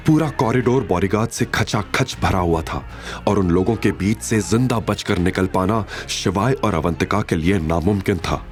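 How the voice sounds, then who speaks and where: A man's voice: sits low, moderate pace, soft background music. A man narrating a scripted romantic action story in a dramatic storytelling style.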